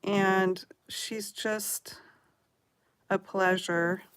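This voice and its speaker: A woman's voice: medium pitch, steady tone, extremely slow, fairly bright tone, clean tone. A middle-aged woman from Oregon, chatting casually with live viewers as a craft channel host, rambling and giggling often. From Oregon, USA.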